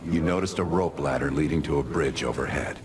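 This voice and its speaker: A man's voice: rumbling low, fairly quick, very noisy. An actor playing a stern, impatient intelligence handler in a video game, giving terse, insistent commands as he questions a subject. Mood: controlled, narrating. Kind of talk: video game cinematic voice acting. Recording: loud dramatic score and effects behind.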